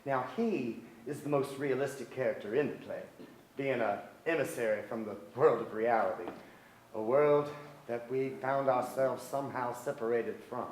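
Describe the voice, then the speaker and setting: Man performing a wry poetic narrator, theatrical stage monologue, medium pitch, deliberate pauses, echoey theater. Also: slight background noise, close to an everyday voice. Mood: wry, wistful. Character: wry poetic young man narrating his family's story. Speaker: actor in a staged play reading.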